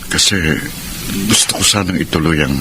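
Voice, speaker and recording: A middle-aged Filipino suitor with a low voice, earnest and persistent. He speaks in a measured, pleading way. Clear noise sits behind the radio drama. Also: sharp tone. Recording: noisy radio drama broadcast.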